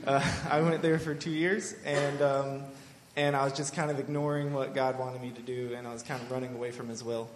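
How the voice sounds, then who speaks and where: A young man in his twenties from Michigan, a Bible school student giving a personal testimony in an earnest, halting way with many fillers. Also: mid-range voice, level tone, noisy background. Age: 20s.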